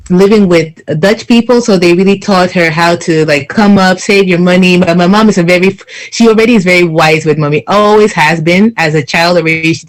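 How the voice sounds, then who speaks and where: A man's voice: high voice, poor audio. A man on a discussion panel, chatting casually and acting out family members as he tells stories. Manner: lively storyteller, mimics his parents.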